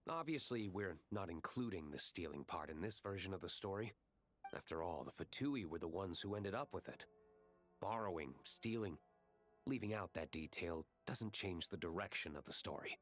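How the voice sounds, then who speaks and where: An actor playing a cool, serious young gentleman fighter in a video game, measured, reserved and dryly matter-of-fact. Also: low voice, animated, soft background music. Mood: dry, explanatory.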